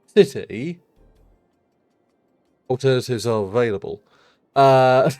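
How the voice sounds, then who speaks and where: A man's voice: medium pitch, dry recording. A man streaming a city-building game, chatting casually and rambling, his nose blocked up because he just rolled out of bed.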